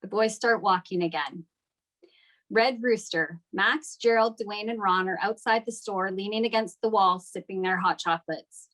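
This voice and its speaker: A woman's voice: medium pitch, moderate pace, a bit bright, low-quality recording. A woman serves as narrator for a script table read. She reads stage directions aloud in a mid-range voice, as scripted narration.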